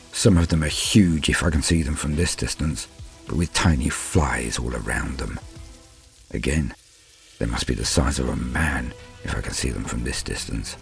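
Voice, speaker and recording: A man's voice: extremely low pitch, gravelly, voice pushed down, full character voice. A man playing a fearful, despairing human soldier, telling his story in grave, theatrical first-person narration. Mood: bleak despair. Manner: grave, theatrical, deliberate phrasing.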